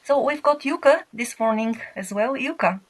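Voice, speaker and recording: Woman host, slightly high voice, modest and hesitant. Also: animated, moderate pace. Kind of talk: casual chat.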